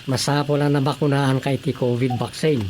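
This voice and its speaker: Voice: medium pitch, little pitch movement, moderate pace, darkish tone, a little hiss or hum, lightly acted. A performer with an Ilocano accent playing a questioning, doubtful villager in a radio drama comedy. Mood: explaining.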